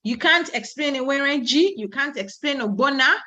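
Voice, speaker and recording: A woman's voice: sits high, lively intonation, moderate pace, sharp tone. A woman in her thirties from Nigeria, giving a conference talk and speaking in a storytelling way, with asides. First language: Yoruba. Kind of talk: presentation talk.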